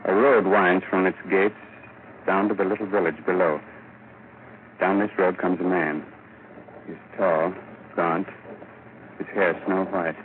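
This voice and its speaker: A man narrating a 1940s radio horror show as announcer, sardonic, ominous and deliberate. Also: deep voice, fairly even intonation. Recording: tinny, noisy 1940s radio recording.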